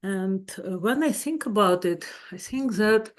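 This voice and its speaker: Woman therapist, Russian accent, non-native English, unhurried, earnest, presenting a webinar. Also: medium pitch, expressive. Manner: slow, searching for words, warm and earnest.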